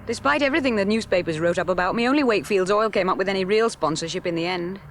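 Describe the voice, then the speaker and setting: A woman's voice: mid-range voice, very fast, natural voice. An actress playing a determined young woman aviator in a radio play, earnest and insistent. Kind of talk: 1970s radio drama. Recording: noisy background ambience.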